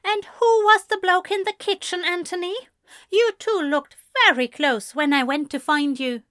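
Woman voicing a snappish, high-strung young man. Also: expressive, normal speed, fairly bright tone. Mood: snapping. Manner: clipped, snappish retorts. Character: tense, prickly young man, sharp-tongued.

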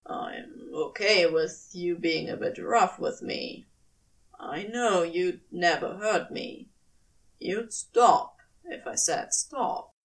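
Woman voicing a slow, yearning male demon. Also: fairly low pitch, recorded from a distance, pitched below the performer's own voice, rough recording. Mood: earnest, resigned. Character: weary, yearning, submissive male demon lover. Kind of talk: dramatic audio fiction reading.

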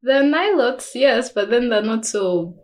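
A woman's voice: a little high, lively intonation, measured pace, darkish tone. A young woman chatting casually as a podcast guest. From South Sudan. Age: young adult. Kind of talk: casual chat.

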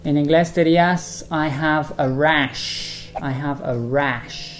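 A woman teaching English in a bilingual lesson, speaking model phrases slowly and deliberately. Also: sits low.

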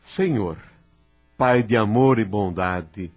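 A man playing a devout, calm spiritist healer in a radio drama, speaking solemnly and prayerfully. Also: fairly low pitch, slow pace, breathy edge, faint music behind.

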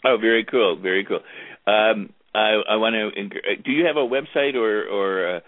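A man with a low, deep voice hosts an internet talk radio show. He chats in a relaxed, easygoing way. The sound is tinny and phone-like, with no room echo at all. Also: moderate pace. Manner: chatty, easygoing, plugs the show. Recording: tinny phone-like line, dry.

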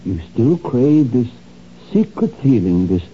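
A calm, probing psychiatrist. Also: male voice, mid-range voice, slight background noise. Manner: soothing, measured. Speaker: doctor. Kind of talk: 1970s radio drama acting. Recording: dull old radio broadcast.

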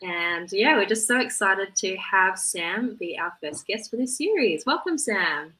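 A woman's voice: mid-range voice, lively intonation, a little slow, pushed and bright, low-quality recording. A woman hosting a podcast interview, introducing her guest and asking questions in a chatty, loosely phrased way. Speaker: podcast host.

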